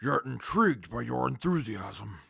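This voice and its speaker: An actor playing a haughty, impatient travelling companion in an audio drama, talking in a stiff, formal and emphatic way. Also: male voice, medium pitch, expressive, moderate pace, vocal fry, fairly bright tone, breathy edge, exaggerated voice. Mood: grudging, formal. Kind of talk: post-apocalyptic audio drama.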